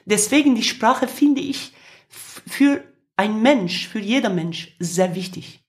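A fifty-year-old woman from Kurdistan with a Kurdish accent, giving reflective interview answers in halting, searching phrases as a non-native speaker. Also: mid-range voice, lively intonation. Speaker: interviewed musician.

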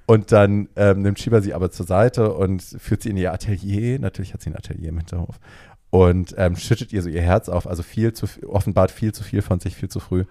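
A man co-hosting a podcast, casually retelling a film's plot in a lively way and dropping in English phrases. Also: low-pitched, brisk, no room sound, clean studio sound. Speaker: podcast co-host. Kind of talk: casual chat retelling a film.

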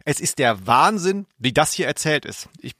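A man's voice: fairly high pitch, sing-song, normal speed, fairly bright tone, dry recording. A man bantering casually as a podcast co-host in a dry, teasing way.

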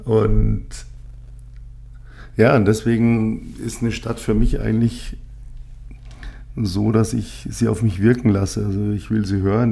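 Gravelly low-voiced man from Munich. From Munich, Germany. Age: 50s. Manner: rambling, frequent fillers, relaxed. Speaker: podcast host, agency owner. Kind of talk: casual solo podcast monologue.

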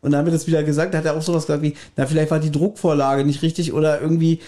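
Male podcast host, slightly high-pitched, conversational and explanatory. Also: level tone, very fast, dark tone. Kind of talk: casual podcast discussion.

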